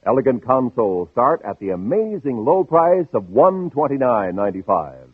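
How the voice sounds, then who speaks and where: An American man reading a polished, emphatic sales pitch as a commercial announcer in 1950s radio style. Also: very animated, moderate pace, slightly rough, dry recording. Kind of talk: 1950s radio advertising read. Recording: dry narrow-band old radio recording.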